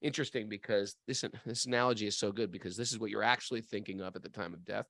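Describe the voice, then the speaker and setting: A man co-hosting a relaxed spiritual discussion, thinking aloud in a musing way with frequent restarts. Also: on the low side, fast, gravelly, no echo, studio quality. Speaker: podcast co-host. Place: home area in New England.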